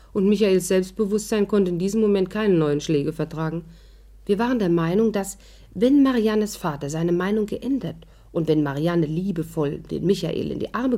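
A woman playing a wry, brisk storyteller recounting her own adventures in a 1950s German radio drama, with a dryly amused manner. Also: fairly low pitch, creaky, warm tone.

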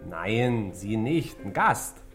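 A wry bar owner, swooping intonation. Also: male voice, on the low side, big pitch swings, drawn-out words, music in the background, heavily acted. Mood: evasive. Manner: evasive, sardonic, offhand answers. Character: cagey, wry male bar owner with shady past. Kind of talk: German radio crime drama acting.

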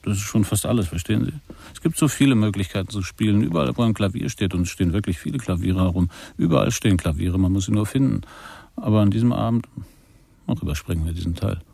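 An actor playing a gloomy, unsociable, hard-drinking young cornettist in a radio play, weary and brusque. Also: male voice, fairly low pitch, quick pace, vocal fry, dark tone, slightly rough, no room sound.

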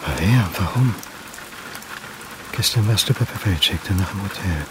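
An actor playing an anxious male academic who talks to himself in halting, clipped phrases in a radio play. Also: low-pitched, lightly acted. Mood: tense, agitated. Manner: halting, clipped self-talk. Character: anxious male history lecturer talking to himself. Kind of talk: radio play inner monologue. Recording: noisy outdoor scene with rain.

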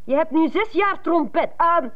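A woman's voice: very high-pitched, lively intonation, moderate pace. A woman playing a scornful, belittling parent with a cutting manner in a radio drama. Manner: cutting, disparaging. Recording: slightly muffled old radio sound.

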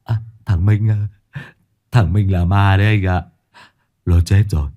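A man's voice: sits low, steady tone, measured pace, mellow. Man voicing a nervous, stammering young man. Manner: hesitant, stammering.

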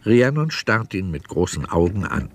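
Low-voiced male radio play narrator. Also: sing-song, moderate pace.

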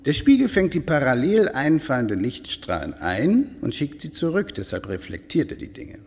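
An actor playing a proud, courteous lord of a medieval castle in a radio drama, speaking ceremoniously. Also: male voice, on the high side, sing-song, moderate pace, darkish tone, slightly rough, full character voice. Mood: proud explaining. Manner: ceremonious, explanatory. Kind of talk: literary radio drama acting. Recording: long hall reverb.

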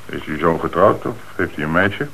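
An actor in a Dutch radio play playing a police commissioner who puts terse, probing questions with businesslike authority. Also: male voice, low-pitched, expressive, moderate pace, gravelly, pushed and bright, full character voice. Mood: official, firm. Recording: noisy old radio play recording.

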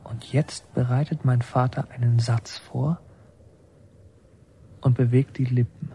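An actor playing a reflective son who narrates a war story in a radio drama, measured and literary. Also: male voice, medium pitch, steady tone, dark tone, slightly rough.

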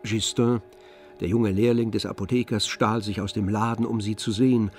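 A man narrating a literary radio drama from a script, measured and composed. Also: lowish voice, fairly even intonation, darkish tone, faint music behind.

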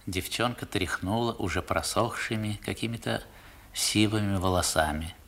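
A man acting as the narrator of a 1960s radio drama, recalling his boyhood in measured, expressive literary prose. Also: on the low side. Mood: reflective. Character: grown male narrator recalling his seaside boyhood adventure.

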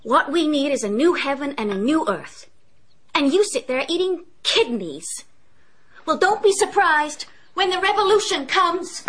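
A woman's voice: sits high, lively intonation, unhurried, a bit bright. An actress playing an idealistic, scornful young upper-class woman in a radio drama, earnest and challenging.

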